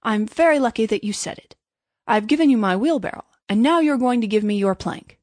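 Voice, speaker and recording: A woman's voice: on the high side, animated, fast, darkish tone, no echo. Woman voicing a pompous, preachy storybook miller man, very dry sound. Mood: opportunistic. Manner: preachy, self-satisfied, emphatic. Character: pompous, self-righteous, greedy male miller, falsely generous. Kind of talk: storybook character voice.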